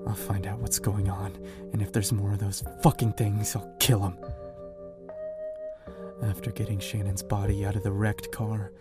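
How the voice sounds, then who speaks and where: Over prominent music, a man performs a grim apocalypse survivor with a lowish voice. He is anguished and profane, a haunted brother in a horror audio drama. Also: level tone, moderate pace, lightly acted. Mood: grim, resolute. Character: panicked, grieving young man, survivor of a zombie apocalypse.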